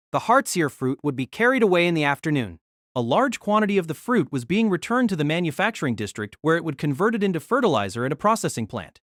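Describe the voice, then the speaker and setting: Animated narrator, close dry sound. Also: female voice, fairly low pitch, dry recording. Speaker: audio drama narrator and reader. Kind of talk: scripted narration of a light novel.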